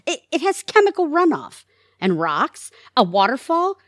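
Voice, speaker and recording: A woman acting in an audio drama as a bossy, scornful middle-aged wife and mother with a sharp tongue. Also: high voice, huge pitch swings, normal speed, dry recording, clean studio sound. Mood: scornful, argumentative.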